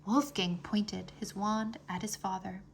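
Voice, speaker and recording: A woman reading a children's fantasy chapter book aloud as an expressive storyteller. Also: mid-range voice, a little slow, vocal fry, slight background noise. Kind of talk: expressive scripted narration.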